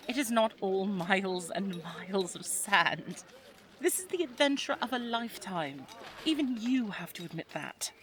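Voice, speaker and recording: A woman's voice: mid-range voice, expressive, measured pace, a bit bright. A woman playing a spirited, teasing young English lady of the 1920s in an audio drama, chatty and well-spoken with an English accent. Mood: teasing excitement. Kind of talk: audio roleplay drama, addressed to the listener.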